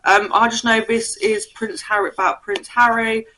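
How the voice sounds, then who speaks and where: A young woman chatting about books for her channel, a bit croaky from a cold, reading blurbs aloud and tripping over names. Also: fairly high pitch, little pitch movement, moderate pace, pushed and bright, slight background noise, poor audio. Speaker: book vlogger. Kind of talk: casual book haul chat.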